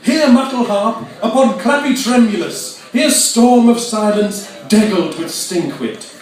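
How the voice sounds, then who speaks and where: A man's voice: sits high, animated, measured pace. A man performing poetry live, declaiming nonsense verse in a theatrical, mock-grand manner with precise enunciation. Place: reverberant venue at an arts festival. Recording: echoey hall, distant microphone.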